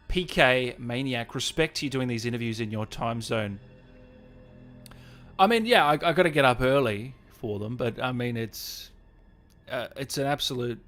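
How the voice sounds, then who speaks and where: A man hosting a casual livestream, reading out viewer messages and thanking people with effusive, drawn-out, hyped shout-outs. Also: medium pitch. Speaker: livestream host and channel owner. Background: music playing behind the voice.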